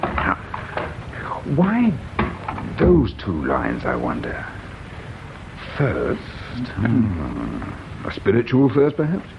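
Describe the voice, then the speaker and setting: An actor playing a shrewd, dry middle-aged English police inspector in a radio drama, speaking in a measured, thoughtful, probing way. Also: male voice, fairly low pitch, wildly swooping pitch, creaky, lo-fi sound, noisy background, heavily acted. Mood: musing. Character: shrewd, dryly patient male police inspector, musing and probing.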